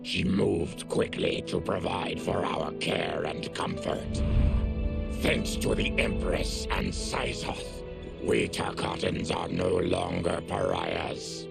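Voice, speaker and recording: An actor playing a bitter, suffering mutant warrior in a video game, with a guttural, gravelly voice and solemn, deliberate, formal delivery. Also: male voice, deep voice, steady tone, over loud music, exaggerated voice. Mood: solemn narration. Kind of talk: dramatic video game acting.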